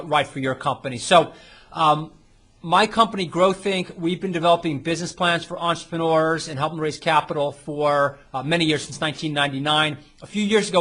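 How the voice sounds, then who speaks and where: Guy chatting through a testimonial, lots of ums, bright forward voice, slightly high. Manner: conversational, hesitant phrasing with many fillers. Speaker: client giving a testimonial. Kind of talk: unscripted casual testimonial.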